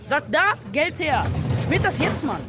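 High-pitched cocky teenage street boy. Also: sing-song, moderate pace, loud music behind the voice, exaggerated voice. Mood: pushy, defiant. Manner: clipped street slang, dropping articles. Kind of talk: radio drama acting.

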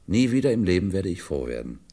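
A shrewd police commissioner in a 1950s radio drama. His voice is low and moves widely in pitch. He explains patiently and deliberately, leaning on key words with theatrical emphasis. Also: sing-song, vocal fry, mellow, full character voice. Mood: explaining, assured.

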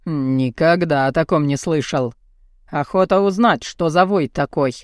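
A gruff, burly, bearded man performed by a woman narrator speaks low in an audiobook. He is brazen and lecherous, with a blunt, mocking, commanding delivery. The sound is dry and close. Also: unhurried, a little mellow, no echo. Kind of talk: audiobook character dialogue.

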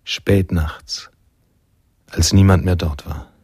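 A sombre noir storyteller narrating a radio drama. His voice is deep and husky, recorded very dry, with a measured, reflective delivery. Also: steady tone, darkish tone, dry recording, full character voice. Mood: grave, reflective.

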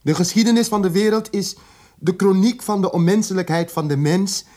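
An actor playing an educated, indignant South African radical in a radio drama, articulate and emphatic, repeating words for weight. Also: male voice, high-pitched, a little fast, mellow, natural voice. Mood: solemn, impassioned.

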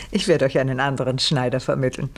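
A scheming noblewoman with a low, husky voice. She speaks with polished, theatrical refinement and quiet command, in the style of a 1970s German radio drama. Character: scheming, commanding aristocratic lady of polished charm.

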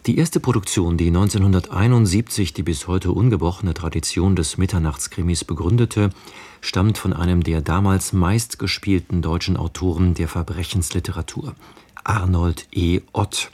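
A man reading a scripted introduction as a radio announcer, formal and measured. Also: deep voice, a little mellow, breathy edge.

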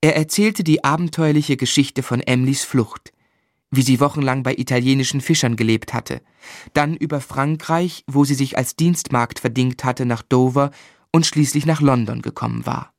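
An actor playing a gentle, melancholy gentleman who narrates his life in a literary, lyrical radio play style. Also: mid-range voice, moderate pace, creaky, no echo, clean studio sound, lightly acted. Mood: fond, reflective. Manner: lyrical, reflective.

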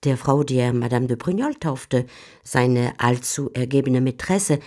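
A woman giving measured, composed scripted narration for a literary radio drama. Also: a bass voice, animated, a little mellow. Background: faint music.

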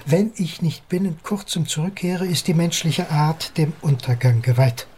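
A man's voice: fairly high pitch, moderate pace, darkish tone, a little hiss or hum, lightly acted. An actor playing a proud, impatient time-travelling male writer in a radio play, insistent and exasperated in manner. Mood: urgent, impatient. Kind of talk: German radio drama dialogue.

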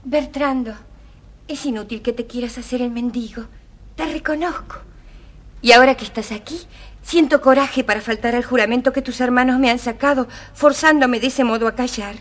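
An actress playing an earnest, brave young princess in a children's audio storybook, speaking sincerely and declaiming a little. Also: female voice, a little high, moderate pace, natural voice. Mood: resolute.

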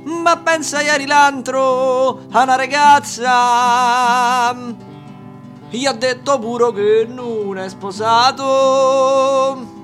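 A man's voice: very high-pitched, fairly even intonation, drawn-out words, fairly bright tone. A man with a Roman accent singing wry, mocking folk verses while playing guitar. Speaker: singer and guitarist.

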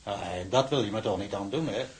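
A man's voice: fairly low pitch, brisk, husky. An actor playing a patient, fatherly prison warder in a radio drama, with a folksy, soothing manner. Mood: coaxing.

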